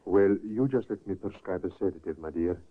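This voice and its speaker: An actor playing a reassuring, measured doctor in a 1950s radio mystery. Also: male voice, sits low, slightly rough. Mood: soothing, solicitous. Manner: measured, reassuring bedside manner. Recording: muffled, phone-like old radio recording.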